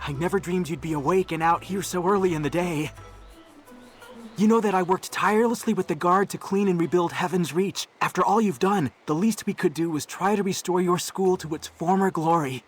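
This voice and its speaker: A man's voice: high-pitched, a little fast, husky, soft background music. A man playing an earnest, timid young man in a video game, apologetic, fretful and given to complaining. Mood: apologetic, put out. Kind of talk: video game character acting.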